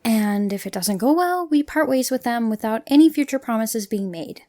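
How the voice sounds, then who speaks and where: A woman playing a calm, reasonable adventurer in a fantasy audio drama, measured and diplomatic. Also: fairly high pitch, no echo. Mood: persuasive. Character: calm, reasonable female adventurer organising the party.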